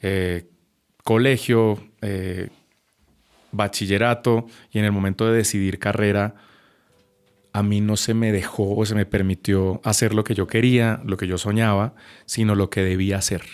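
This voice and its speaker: A man in his thirties speaks with a deep, low voice. He tells his own story slowly and thoughtfully, pausing often with small hesitations. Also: flat delivery, a little mellow, smooth. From Colombia. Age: 30s. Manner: reflective, measured, with frequent hesitations. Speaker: podcast guest. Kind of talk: personal testimony in a podcast conversation.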